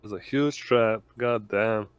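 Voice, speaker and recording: Smooth low-voiced man chatting over a game. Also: slow pace, no echo. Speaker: tabletop RPG player. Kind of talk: casual game chat.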